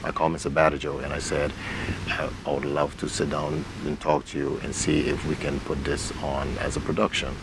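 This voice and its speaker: A man's voice: extremely low pitch, monotone, fairly quick. A man, an interview guest talking informally and telling stories in colloquial speech. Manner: informal, colloquial, acts out quoted dialogue. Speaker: interview guest, stage actor and director. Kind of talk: conversational storytelling. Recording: clearly noisy background.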